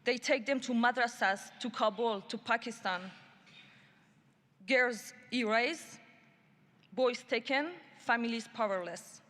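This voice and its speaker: A woman's voice: a little high, a bit bright. Emphatic woman speaking in a reverberant hall. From Iran. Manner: deliberate, measured and emphatic. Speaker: speaker at a human rights summit. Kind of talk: prepared speech. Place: large conference hall.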